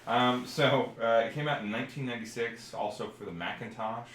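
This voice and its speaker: A man's voice: mid-range voice, steady tone, a little fast, fairly bright tone. A man co-hosting a casual podcast about retro games, talking in a rambling way as he searches for details. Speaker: podcast co-host. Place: indoor room, recorded live on stream. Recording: roomy echo, clear background noise.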